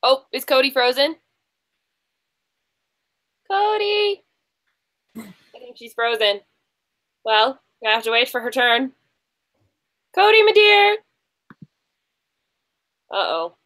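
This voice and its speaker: A woman with a high, bright voice hosts a book quiz on a video call. She speaks informally and unscripted, moving between questions and chat with her contestants. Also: sing-song, slow, pauses often. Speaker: host of an online book quiz. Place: online group video call.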